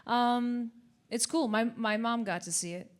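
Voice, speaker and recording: A woman's voice: fairly high pitch, unhurried. An American woman from New York, a voice actress, rambling and giggling on a convention panel. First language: Russian. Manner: rambling, self-interrupting, giggly. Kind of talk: casual panel chat.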